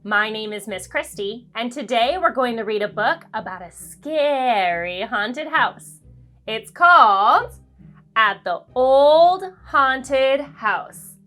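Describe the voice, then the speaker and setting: A woman hosting a children's read-aloud, telling a storybook in an expressive, sing-song way. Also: on the high side, faint music behind. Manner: animated, slow and deliberate sing-song delivery.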